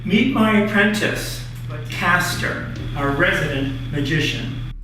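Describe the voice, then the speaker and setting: A man's voice: sits high, takes it slowly. A man playing a grandiose ringmaster who addresses his public in declamatory speeches for a radio drama. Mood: proud, proclaiming. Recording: very echoey, distant, music behind.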